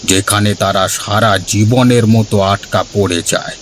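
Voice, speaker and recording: A man playing an old village grandfather in a cartoon, gravely telling eerie legends and cautioning children. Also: fairly low pitch, fairly even intonation, unhurried, a little hiss or hum, close to an everyday voice. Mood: eerie storytelling. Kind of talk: cartoon storytelling.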